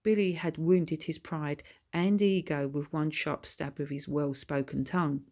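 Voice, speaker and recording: A woman with a slightly low voice reads a children's story. She narrates expressively from a script, in the role of the book's author. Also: steady tone, unhurried, dark tone. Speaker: author reading own children's book.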